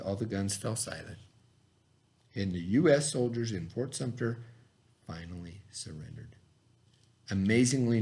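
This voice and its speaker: A man reading a lesson aloud as a teacher, explaining as he goes and checking understanding with simple questions. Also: medium pitch.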